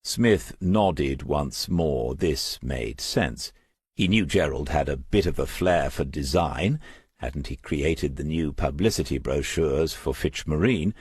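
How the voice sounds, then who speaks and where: A man's voice: rumbling low, darkish tone, husky, no room sound, clean studio sound. A man narrating a crime novel as an audiobook, reading scripted prose.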